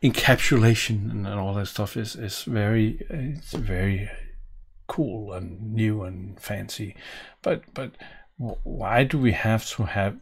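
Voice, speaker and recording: A man's voice: fairly low pitch, slow, husky. A middle-aged man from Denmark with a Danish accent, thinking aloud as he walks through code in a home office. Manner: musing, thinking aloud while typing. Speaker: programming tutorial host. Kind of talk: live coding walkthrough. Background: keyboard typing and clicks.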